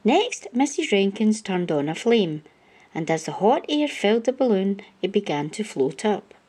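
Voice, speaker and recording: A woman reading a children's storybook aloud as an expressive narrator. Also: medium pitch, moderate pace, husky.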